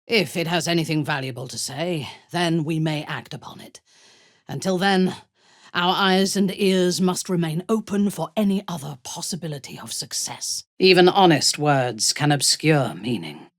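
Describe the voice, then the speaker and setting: An actress playing a cold, ruthless dark elf warrior-priestess in a video game, haughty and deliberate, with a breathy, husky voice. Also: female voice, sits low, no room sound, clean studio sound. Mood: imperious. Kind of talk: video game character dialogue.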